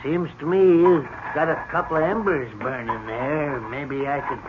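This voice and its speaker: An actor in a 1970s radio drama playing a hesitant, apologetic small-town taxi man, halting and deferential, a reluctant killer. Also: a little high, fairly even intonation, close to an everyday voice. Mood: uneasy. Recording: noisy, muffled old radio recording with clicks.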